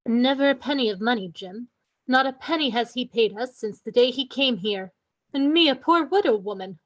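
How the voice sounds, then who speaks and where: Woman acting a plaintive, high-voiced poor widow. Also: animated, moderate pace, muffled recording, natural voice. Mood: plaintive, fearful. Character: fretful poor widow innkeeper, mother, timid and complaining. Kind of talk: radio play acting.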